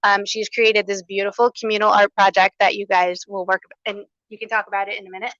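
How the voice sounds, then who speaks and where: A woman's voice: mid-range voice, level tone, quick pace, dry recording, highs cut off. A woman hosting a small conference, giving upbeat welcoming remarks and housekeeping notes without a microphone. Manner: upbeat, enthusiastic, loud unamplified voice. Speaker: conference coordinator and host. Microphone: no microphone, room recording.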